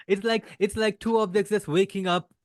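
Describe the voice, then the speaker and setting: Kenya-born male host, fairly high voice, dry close sound, affirming. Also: no room sound. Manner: enthusiastic, affirming, quick to laugh along. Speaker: podcast host. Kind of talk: casual interview chat. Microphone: video call.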